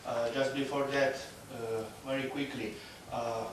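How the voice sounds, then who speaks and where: Halting man in an echoey hall. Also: medium pitch, level tone, background noise. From Italy. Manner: halting, many fillers and restarts. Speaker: conference speaker. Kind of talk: presentation. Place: large conference hall. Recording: echoey hall, speaker far off.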